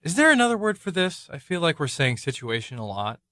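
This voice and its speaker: A man's voice: on the high side, big pitch swings, brisk, bright, forceful tone, dry recording, clean studio sound. A man playing Bear, a wise, welcoming husband who writes plays, in an easygoing, rambling manner. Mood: calm, wry. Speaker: cottage host and husband. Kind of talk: amateur radio play.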